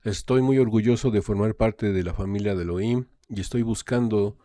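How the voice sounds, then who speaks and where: A man's voice: on the low side, warm tone. A man hosts a reaction video, translating a speech on the fly. He often hesitates and fills gaps with "eh" and "este". His voice sounds dry and close, with no room echo. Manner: hesitant, filling pauses with "eh" and "este" while translating. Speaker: reaction channel host. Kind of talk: casual live translation and commentary.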